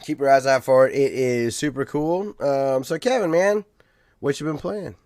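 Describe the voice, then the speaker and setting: A man's voice: medium pitch, moderate pace, darkish tone, dry recording, studio quality. A man hosting a gaming podcast in casual chat, enthusiastic and steering the talk along. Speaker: podcast host.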